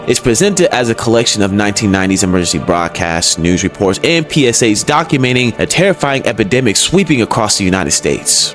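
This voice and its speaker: A man hosting a scripted explainer, talking directly and conversationally to the viewer. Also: fairly low pitch. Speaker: video essay host. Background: background music.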